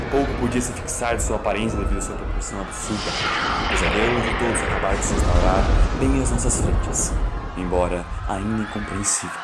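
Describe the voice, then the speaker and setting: A man with a Brazilian accent narrating a horror audiobook in a solemn, theatrical way. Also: lowish voice. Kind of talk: dramatic scripted horror narration. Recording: loud music under the voice. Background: loud music.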